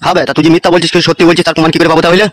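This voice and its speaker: Crude, mocking cartoon gang boss played by a man, bossy, slightly high male voice, racing pace. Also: level tone, very fast, dry recording, clean studio sound, close to an everyday voice. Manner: bossy, teasing, swearing. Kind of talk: comedy cartoon dubbing.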